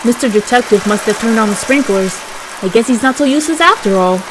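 A high, animated teenage boy, performed by a woman for an anime parody. He sounds anxious and exasperated, with a sarcastic edge. The home recording has clear background noise. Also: quick pace, natural voice. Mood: sulky, dry.

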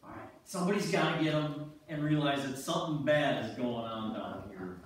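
A man's voice: mid-range voice, fairly quick, natural voice. A man playing a resigned, plain-spoken ice fisherman in amateur reader's theater, recorded from far off in a church hall. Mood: worried. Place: church sanctuary stage with audience. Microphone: far camera in the pews. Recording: distant, very echoey noisy church hall recording.

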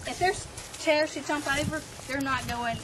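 A woman gives practical, brisk instruction to a group of camp counselors at a lakeshore. She raises her voice to carry across the group. She is caught by a camera at some distance, under loud outdoor noise. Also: high voice. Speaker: camp staff trainer.

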